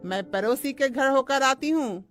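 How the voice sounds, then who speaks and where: A woman's voice: high voice, moderate pace, lightly acted. A woman playing a harsh, spiteful middle-aged mother-in-law in a Hindi moral-story cartoon, with a scornful, taunting delivery. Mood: bossy, taunting. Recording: music under the voice.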